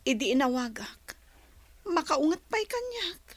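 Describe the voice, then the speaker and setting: A woman's voice: high voice, very animated, unhurried, dry recording, exaggerated voice. A woman playing a heartbroken young woman in an Ilocano radio drama, speaking plaintively with a Filipino accent. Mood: dejected. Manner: plaintive, emotional. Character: heartbroken young woman pleading with her boyfriend.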